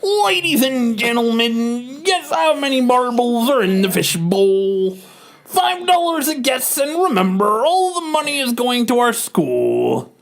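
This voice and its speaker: A performer in an amateur comic reading, voicing a showy man who announces a charity contest with drawn-out patter. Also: medium pitch, sing-song, gravelly.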